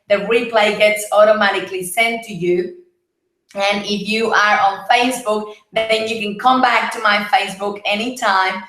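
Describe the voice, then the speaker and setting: Woman from Mexico, husky, chatty host, high-ish voice, roomy echo. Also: bright, forceful tone. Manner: chatty, greets viewers by name. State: husky, voice partly lost. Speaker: livestream host. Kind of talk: casual live broadcast chat. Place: home, Gold Coast.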